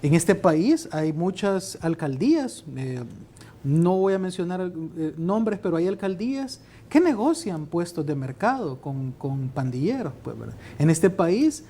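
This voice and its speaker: A man's voice: sits high, big pitch swings, a little slow, mellow. A man arguing a point on a debate panel, often rephrasing himself and adding tag questions. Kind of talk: debate argument.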